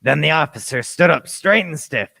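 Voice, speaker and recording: A man playing an earnest young narrator recounting his adventure deliberately in a scripted radio play. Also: on the high side, lively intonation, creaky, bright, forceful tone, heavily acted. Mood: grave, recounting.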